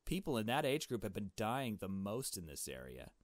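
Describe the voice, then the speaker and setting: A scheming rich girl from a visual novel, read by a man in a lowish voice. She is assured, matter-of-fact and dismissive. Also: big pitch swings, normal speed, no room sound.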